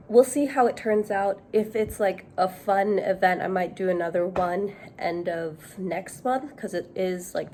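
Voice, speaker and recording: A young woman chatting casually to camera in a vlog, nasal and hoarse from a cold, thinking aloud with fillers. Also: lowish voice, a little mellow, slight background noise. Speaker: knitting vlogger.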